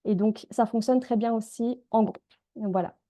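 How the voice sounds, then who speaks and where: Woman in her thirties, soft and mellow, calm healing-session guide, hesitant pauses. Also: fairly high pitch. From Switzerland. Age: 30s. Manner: gentle, calm, with frequent hesitations. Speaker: energy healer and mindset coach. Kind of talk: live group healing session and guided prayer.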